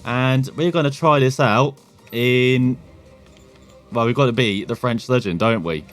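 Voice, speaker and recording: A man's voice: medium pitch, measured pace, soft background music. Chatty English man commentating gameplay. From England. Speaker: gaming channel host.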